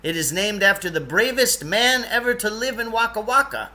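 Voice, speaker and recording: A man's voice: high voice, normal speed, pushed and bright, voice pushed up. A man voicing a jumpy, fussy and proud guide from another world in a storybook, hesitant at times and touchy. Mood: proud, indignant. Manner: hesitant stammering, then emphatic and touchy.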